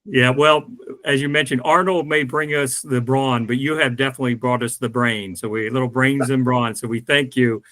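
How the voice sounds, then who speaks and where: An older man co-hosting a video call chat, animated and joking. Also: medium pitch, normal speed, dry recording. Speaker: co-host. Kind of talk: casual chat.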